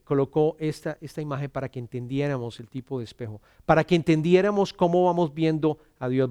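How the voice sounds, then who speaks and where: A middle-aged man from Colombia, preaching an earnest sermon, often repeating words as he starts and dropping to a soft hush on scripture. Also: on the high side, no echo, studio quality. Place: church.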